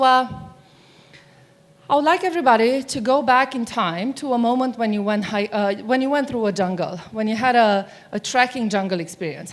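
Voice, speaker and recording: A middle-aged woman from Romania giving a conference keynote on stage, chatty and often asking the audience questions. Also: a little high. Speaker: keynote speaker. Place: stage of a large conference hall. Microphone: mic on stage.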